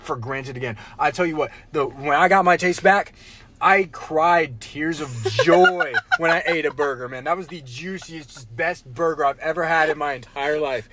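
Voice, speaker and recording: A man's voice: on the high side, moderate pace, a bit bright, a little hiss or hum. A young man co-hosting a casual podcast, telling a rambling story with lots of restarts and "bro"s. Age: young adult. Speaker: podcast co-host. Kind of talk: casual chat.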